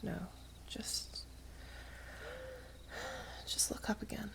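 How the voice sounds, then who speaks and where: A woman playing an ageless, gently teasing, wistful elven maiden in an ASMR roleplay, speaking softly and half-whispered with archaic, unhurried phrasing. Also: poor audio. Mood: pensive, reverent. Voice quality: soft, breathy, half-whispered. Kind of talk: ASMR roleplay, slow intimate storytelling.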